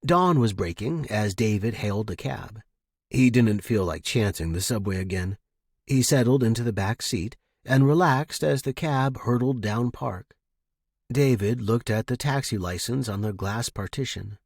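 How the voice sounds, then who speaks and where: A man reading a scripted story as a narrator, with a dramatic, expressive and suspenseful delivery. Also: lowish voice, normal speed, gravelly, breathy edge, dry recording, clean studio sound. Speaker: audiobook-style fiction narrator.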